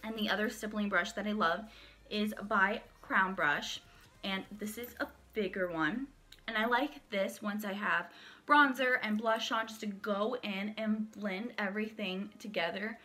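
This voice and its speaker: A woman's voice: mid-range voice, measured pace, bright, forceful tone. A young woman in her twenties, a beauty vlogger chatting enthusiastically through her favorite makeup brushes while sick, her voice cracking at times. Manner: chatty, enthusiastic, shows each brush to camera. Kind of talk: casual product walkthrough. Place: small room at home, indoors.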